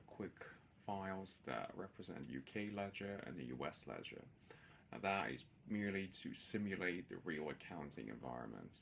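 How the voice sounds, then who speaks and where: Guy w a deep creaky voice doing a screen share tutorial, kinda halting, lots of ums, bit of background hiss. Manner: hesitant, halting explanation with many filled pauses. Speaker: presenter of a software demo.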